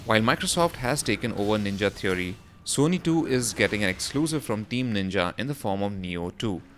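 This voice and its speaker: A man's voice: on the low side, lively intonation, a little fast, fairly bright tone, slight background noise. A man reading a scripted voice-over for a gaming news roundup, in the role of presenter. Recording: music behind the voice. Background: background music.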